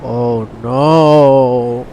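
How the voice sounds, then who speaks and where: A man's voice: mid-range voice, steady tone, extremely slow, mellow, a little hiss or hum. A man with a Filipino accent giving casual, joking, slangy reactions as he streams a video game. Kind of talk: casual gameplay commentary.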